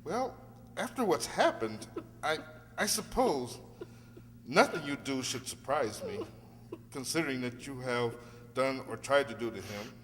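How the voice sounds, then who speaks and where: A man's voice: medium pitch, expressive, a little slow, pauses often, a bit bright. A man playing a polite, earnest lawyer in an amateur radio drama, courteous and hesitant, baffled and disapproving. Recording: reverberant hall, some noise and hum.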